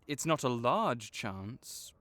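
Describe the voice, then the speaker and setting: A man playing a gentle, caring vet for magical creatures in an audio roleplay, speaking in a measured, reassuring, explanatory way. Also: medium pitch, sing-song, no room sound, heavily acted. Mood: concerned, explaining. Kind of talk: soft-spoken one-sided comfort roleplay.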